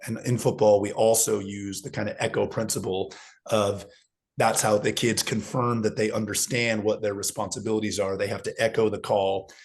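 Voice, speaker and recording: A hoarse man with a somewhat low voice talks through his coaching in an unhurried, explanatory way. He is a football coach chatting casually on a video call. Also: little pitch movement. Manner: thoughtful, explanatory. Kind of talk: casual video chat conversation.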